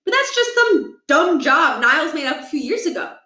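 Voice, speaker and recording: A woman's voice: sits high, sing-song, moderate pace, sharp tone, pitched above the performer's own voice, exaggerated voice. A woman voicing an indignant, plain-spoken schoolgirl class president who protests politely in a children's story. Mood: protesting. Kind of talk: children's book read aloud. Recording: echoey room, distant from mic.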